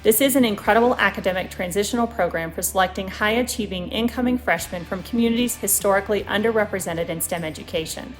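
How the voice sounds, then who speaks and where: Fast-talking woman, corporate testimonial. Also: medium pitch, soft background music. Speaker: corporate representative. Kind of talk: scripted testimonial.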